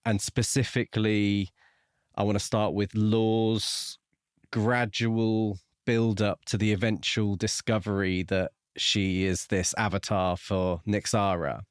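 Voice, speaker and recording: A man co-hosting a podcast, discussing a book casually in a measured, reflective and explanatory way. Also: lowish voice, level tone, clean tone, no room sound, clean studio sound. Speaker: podcast co-host.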